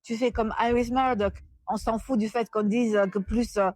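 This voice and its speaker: Female interviewer, Italian native from Rome. Higher register, very dry sound, chatty and familiar literary conversation. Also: steady tone, normal speed, a little mellow, dry recording. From Rome, Italy.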